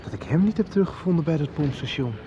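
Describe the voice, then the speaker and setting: A man's voice: a little high, expressive, fast, natural voice. An actor playing a troubled young man searching for answers in a radio drama. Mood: frustrated, puzzled. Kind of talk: 1990s radio drama acting. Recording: dull sound with clearly noisy ambience.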